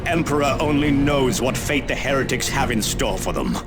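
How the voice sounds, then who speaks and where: A man playing a loyal, earnest space marine sergeant in a video game, formal and declamatory, with loud music behind him. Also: mid-range voice, animated, normal speed, lightly acted. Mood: urgent. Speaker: military sergeant. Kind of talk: dramatic video game acting.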